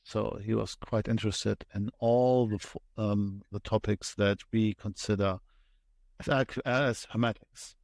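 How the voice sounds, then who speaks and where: A man with a German accent, a podcast guest explaining things step by step with frequent thoughtful pauses. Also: lowish voice, fairly even intonation, gravelly, a little mellow, no echo. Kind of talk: conversational interview explanation.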